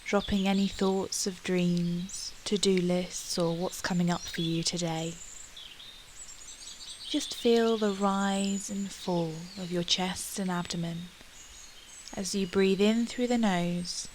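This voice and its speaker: A woman leading a guided meditation in a calm, soothing manner with long pauses. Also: fairly low pitch, noisy background. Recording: steady outdoor nature ambience with birdsong. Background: birdsong and nature ambience.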